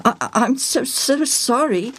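A woman's voice: high-pitched, expressive, measured pace, fairly bright tone, a little hiss or hum, voice pushed up. A woman voicing a flustered, apologetic boy who stammers and splutters in a storybook tale.

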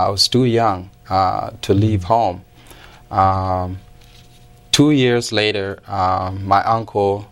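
A man in his forties from South Sudan, English not his first language, telling his life story in an interview with many pauses and fillers. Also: fairly low pitch. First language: not English; English learned as second language. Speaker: interview guest. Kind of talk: personal testimony in interview.

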